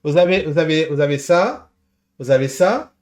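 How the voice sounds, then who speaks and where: A man hosting a casual live show, speaking French in a halting way with many repeats and restarts. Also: a little high, animated, darkish tone. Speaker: show host.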